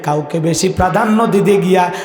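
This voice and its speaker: High-pitched Bangladeshi man preaching with heavy echo. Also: fairly quick, darkish tone, soft background music. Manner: impassioned, exhorting, calls on listeners to respond. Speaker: Islamic preacher. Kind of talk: emotive storytelling sermon to a gathering. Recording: echoey hall sound, long reverb.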